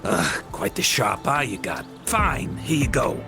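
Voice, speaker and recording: A man's voice: mid-range voice, very animated, measured pace, vocal fry, music in the background. A man voicing a shifty, whiny petty thief in a video game, slangy, blustering and defensive.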